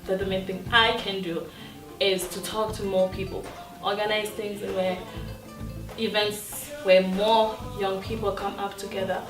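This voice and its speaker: A young woman gives a heartfelt personal account at a youth gathering. Her mid-pitched voice sits away from the microphone in an echoey room, with clear music underneath. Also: level tone, a little slow. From Mali. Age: young adult. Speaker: youth conference participant. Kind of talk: earnest personal testimony. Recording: echoey room, distant mic, music behind.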